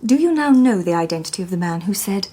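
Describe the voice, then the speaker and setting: An actress playing a composed, precise Victorian lady detective with a reserved, breathy manner. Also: medium pitch, expressive, quick pace, dark tone. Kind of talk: radio drama acting.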